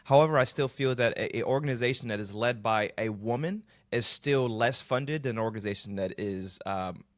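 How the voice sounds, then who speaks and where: A man's voice: mid-range voice, brisk, no room sound. A middle-aged man from Massachusetts, hosting an interview and asking long, rambling questions. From Massachusetts, US, then Florida. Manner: chatty, rambling questions, friendly. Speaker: podcast host. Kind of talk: casual interview talk.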